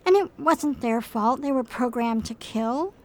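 A woman playing a calm, earnest female android partner in an audio drama, curious about humans, speaking in a measured way. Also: fairly high pitch. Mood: gentle, curious.

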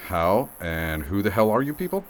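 A man's voice: lowish voice, very animated, moderate pace, a little hiss or hum. A man playing a kindly, self-deprecating sheriff in an audio drama, with a wry, sarcastic way of talking. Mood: startled, annoyed. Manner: wry, sarcastic, mumbled asides.

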